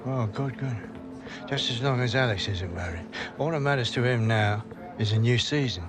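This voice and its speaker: An actor playing a caring, watchful old grandfather in a video game cutscene, speaking gently and earnestly. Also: medium pitch, moderate pace, lightly acted. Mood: concerned, sincere. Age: older. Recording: loud music behind the voice. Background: music.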